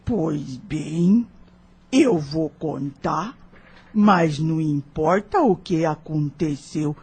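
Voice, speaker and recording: A Brazilian woman playing an old, motherly enslaved nursemaid in an audio drama, husky and weathered, telling things solemnly in rustic folk speech. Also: low voice, extremely slow, darkish tone, full character voice. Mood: solemn, sorrowful.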